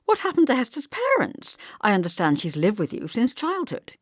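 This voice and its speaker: A woman's voice: a little high, huge pitch swings, normal speed, dry recording, clean studio sound, pitched above the performer's own voice. A woman voicing a snobbish, probing middle-aged mother of the groom in an audiobook, with genteel disapproval. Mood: eagerly prying.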